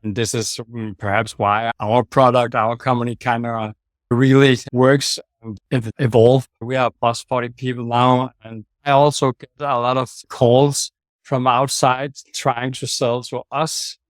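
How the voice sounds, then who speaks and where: A man's voice: medium pitch, flat delivery, a little slow, no room sound. A man with a Danish accent, a sales manager giving direct, plain-spoken answers as an interview guest over a video call. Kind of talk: casual interview talk.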